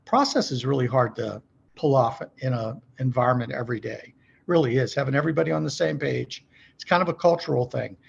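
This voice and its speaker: A man's voice: medium pitch, brisk, gravelly, breathy edge, muffled recording. A middle-aged man speaking as a podcast guest, explaining business practice conversationally in a folksy way, often posing questions and checking in with the listener. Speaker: podcast guest, company executive.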